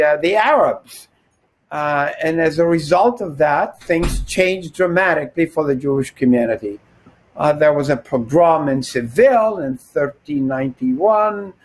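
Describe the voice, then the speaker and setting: An older man from Turkey, a rabbi, giving measured, scholarly explanations as an interview guest. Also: a little high.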